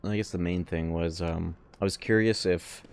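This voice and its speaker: A man's voice: sits low, steady tone, normal speed, vocal fry, noisy background. A man livestreaming a video game, chatting casually with viewers in a rambling, sweary, informal way. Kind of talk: casual gameplay commentary and chat with viewers. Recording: loud game music behind voice. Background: loud video game music.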